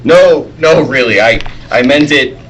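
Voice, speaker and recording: A man's voice: medium pitch, unhurried, a bit bright, close to an everyday voice. A man playing a mild, earnest, hesitant young newspaper reporter in a 1940s radio serial read live. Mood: alert. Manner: hesitant, polite. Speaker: table-read performer. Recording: noisy convention floor ambience. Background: convention hall noise.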